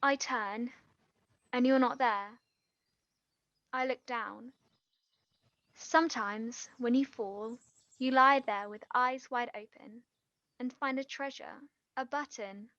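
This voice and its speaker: High-voiced, tender young woman, played by an actress. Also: fairly bright tone, dry recording, muffled recording. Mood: tender reciting. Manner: halting, trailing off mid-sentence. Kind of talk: stage play acting, quiet two-hander.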